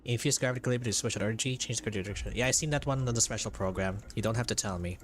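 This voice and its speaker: A man's voice: on the low side, very fast. Rambling Filipino man streaming a game. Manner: rambling, repeats phrases. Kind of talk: casual live gameplay commentary, chatting with viewers. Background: faint game music.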